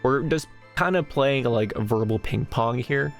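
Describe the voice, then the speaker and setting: Gravelly-voiced man hosting a casual chat. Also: mid-range voice, a little slow, soft background music. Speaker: interviewer.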